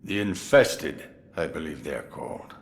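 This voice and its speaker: An actor playing a crafty, jovial old samurai sword master in a video game, musing theatrically with drawn-out amusement. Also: male voice, rumbling low, animated. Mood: grave musing. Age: older. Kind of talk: dramatic video game voice acting.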